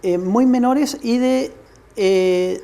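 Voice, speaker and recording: A mellow-voiced man with a Chilean accent speaks as an interview guest. He lays out a historical account in an explanatory way, stressing his points with measured emphasis. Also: extremely high pitch, creaky, clean tone. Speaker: studio guest, military history commentator.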